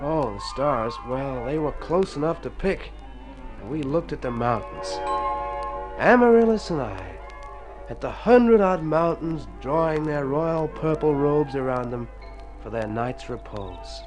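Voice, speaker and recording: A man's voice: a little high, expressive, measured pace. An actor playing an earnest, plaintive convalescing city man in a radio drama, theatrical and wordy. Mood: wistful storytelling. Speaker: storyteller and lead character in a radio play. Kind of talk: Golden Age radio drama acting. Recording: old radio recording with music.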